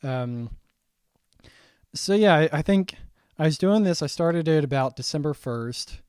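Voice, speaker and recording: A young man walking through his own saxophone arrangement in a casual, halting way, full of ums and restarts, his voice getting raspy. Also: a little high, expressive, darkish tone, dry recording, studio quality. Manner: halting, many ums and restarts, thinking aloud. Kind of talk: casual screen-share breakdown.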